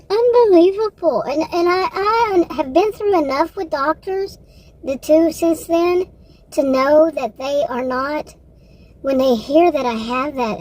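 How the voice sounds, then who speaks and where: A woman with a Texan accent and a fairly high voice talks off the cuff in a casual personal vlog. She rambles and halts, circling back to correct herself. There is clear background noise on the recording. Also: a little mellow, low-quality recording. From Texas, United States. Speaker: vlogger.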